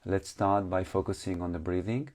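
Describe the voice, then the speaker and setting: A man with a deep, dark and relaxed voice leads a guided meditation. He gives calm instructions in short phrases, leaving long gaps between them. His English phrasing is slightly non-native. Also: moderate pace. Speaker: meditation teacher.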